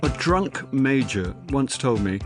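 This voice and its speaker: A man's voice: mid-range voice, very animated, takes it slowly, over loud music. Wry middle-aged male narrator. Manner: wry, sardonic. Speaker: actor and narrator. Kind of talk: first-person radio drama narration.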